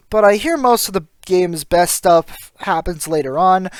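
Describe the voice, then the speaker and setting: A man's voice: high voice, no room sound. A man reading scripted voice-over commentary as a video game reviewer.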